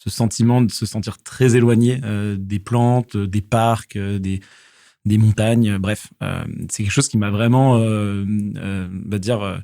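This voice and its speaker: Low-voiced hesitant man in his thirties. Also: steady tone, measured pace, mellow. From southwest France. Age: 30s. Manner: hesitant, many fillers and restarts. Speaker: guest, company founder. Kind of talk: casual conversational interview. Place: podcast studio.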